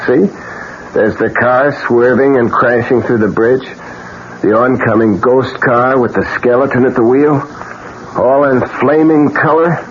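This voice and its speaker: An actor playing a bitter, reckless painter with a death wish, sardonic and theatrical, in a 1970s radio drama. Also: male voice, mid-range voice, fairly even intonation, measured pace, lightly acted. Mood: mocking, brisk. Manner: sardonic, theatrical, swinging delivery. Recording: muffled, noisy 1970s radio drama recording.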